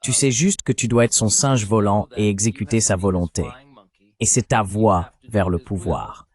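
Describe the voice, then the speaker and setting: Low-pitched man, synthetic interview voice-over. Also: normal speed. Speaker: interviewee, psychologist, read by an AI dubbing voice.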